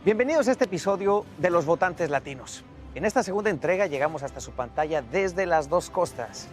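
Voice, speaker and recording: A male news presenter with a slightly high voice, speaking over a faint music bed. His delivery moves between scripted narration and interview questions. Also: lively intonation, a little fast.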